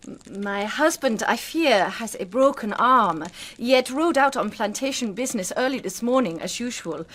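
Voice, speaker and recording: A woman's voice: on the high side, lively intonation, normal speed, fairly bright tone. A woman acting in a period radio drama as a genteel, anxious planter's wife, polite and hesitant.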